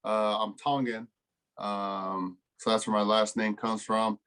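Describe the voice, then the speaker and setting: A man in his twenties from California with an American accent, giving casual, laid-back interview answers with frequent pauses. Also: lowish voice, flat delivery, fairly bright tone, smooth. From California, United States. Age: 20s. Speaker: college football player, interview guest.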